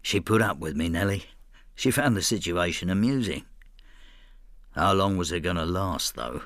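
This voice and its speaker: An older man with a low voice, narrating his life in a radio play. He is inward and resentful, confiding his thoughts in a reflective, intimate manner. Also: expressive, moderate pace, fairly bright tone, no echo, studio quality. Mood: brooding. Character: brooding older Frenchman, resentful, inward, estranged husband. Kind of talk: radio drama, intimate first-person narration and dialogue.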